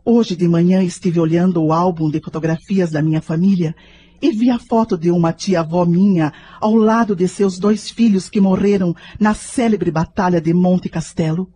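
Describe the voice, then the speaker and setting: An earnest middle-aged mother, played by a woman, explains herself in a radio drama. Her voice is mellow and dark, with a husky grain. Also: lowish voice, steady tone, moderate pace. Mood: earnest conviction.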